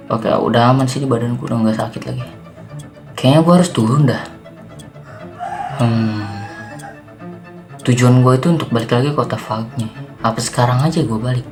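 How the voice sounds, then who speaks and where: Man acting, young zombie survivor, game roleplay, weary, jumpy, self-muttering, exclaiming, slangy, medium pitch, background music. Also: darkish tone, close to an everyday voice. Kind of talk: improvised Minecraft roleplay, talking to himself.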